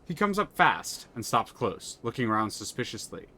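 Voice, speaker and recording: A man's voice: medium pitch, very animated, normal speed, sharp tone. A man streaming a story game live, reading narration aloud and thinking aloud between moves, with frequent restarts.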